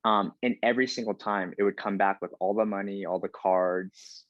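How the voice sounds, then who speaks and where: A man's voice: on the low side, steady tone, normal speed, a bit bright, no echo, lo-fi sound. A man in his thirties from the United States, talking casually as a podcast guest with lots of fillers and restarts. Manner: chatty, many fillers and restarts. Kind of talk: casual interview conversation.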